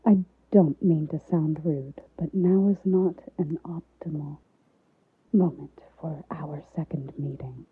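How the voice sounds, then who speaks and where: A woman playing a soft-spoken, alluring and guarded gothic lady in an ASMR roleplay, formal and teasing, often dropping into a whisper. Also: low-pitched, fairly even intonation, slightly rough, dry recording, narrow, tinny audio, heavily acted. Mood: reluctant, tender. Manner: slow, formal, teasing endearments.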